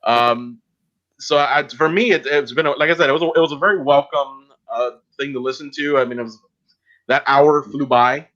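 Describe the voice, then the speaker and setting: A man hosting a podcast in casual chat, talking in a halting, rambling way. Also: medium pitch, a bit bright, highs cut off. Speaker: podcast host.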